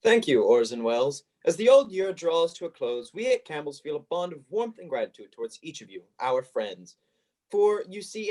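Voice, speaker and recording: Guy doing a polite old radio ad announcer, highish soft-toned voice, sing-song and sincere. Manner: formal, earnest. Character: courteous, grateful 1930s male radio announcer. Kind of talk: scripted 1930s radio advertising.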